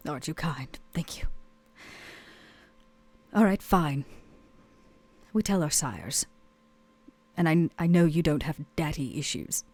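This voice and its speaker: A woman's voice: low voice, darkish tone, dry recording. A woman playing a weary, proud club owner in a tabletop roleplay, speaking in a theatrical, hesitant way. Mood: wry, then bitter.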